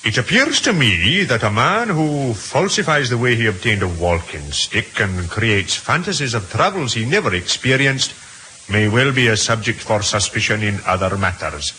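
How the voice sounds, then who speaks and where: A male actor playing a dutiful, dry police detective in an old radio drama, speaking crisply and with deference. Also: mid-range voice, very animated, moderate pace, bright, forceful tone, poor audio. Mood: dry, puzzled. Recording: hissy old radio recording.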